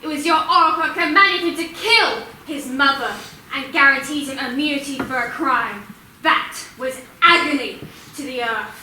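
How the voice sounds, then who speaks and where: A woman playing a relentless Fury, a spirit of vengeance in a Greek tragedy, declaiming in a shrill, accusatory way. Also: normal speed, natural voice. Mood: accusing. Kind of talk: Greek tragedy stage acting. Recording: echoey room, distant mic, faint noise.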